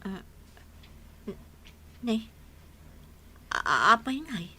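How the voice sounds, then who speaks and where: A woman's voice: on the high side, very animated, slow pace, sharp tone, husky, exaggerated voice. A woman acting in an Ilocano radio drama as a chatty, boastful friend, exclaiming expressively with an Ilocano Filipino accent. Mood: flustered, put out.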